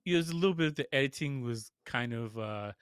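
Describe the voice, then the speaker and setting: A man's voice: mid-range voice, animated, quick pace, clear, resonant tone, no echo, studio quality. A man co-hosting a podcast, giving a casual film review in an informal, conversational, lightly amused way. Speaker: podcast co-host reviewing films.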